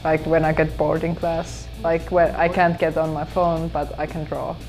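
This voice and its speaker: A teenage girl from Finland, speaking English as a second language, answering interview questions hesitantly with many fillers and soft laughs in a school hallway. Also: sits low, level tone, normal speed, soft background music, low-quality recording. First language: Finnish. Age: teens. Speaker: exchange student interviewee. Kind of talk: casual interview.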